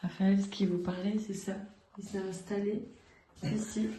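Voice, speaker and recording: A woman's voice: medium pitch, little pitch movement, moderate pace, warm tone. A woman in her thirties, a mother, cheerfully narrating a family holiday vlog to her handheld camera. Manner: chatty, cheerful, talking to her children and to viewers. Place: outdoors on a holiday trip. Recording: noisy outdoor background, passing vehicles.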